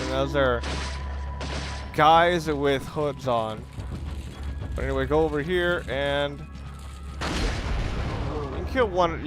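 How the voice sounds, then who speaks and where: A man giving casual, rambling walkthrough commentary on a video game, correcting himself and explaining tactics as he plays. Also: a little high. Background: video game music and sound.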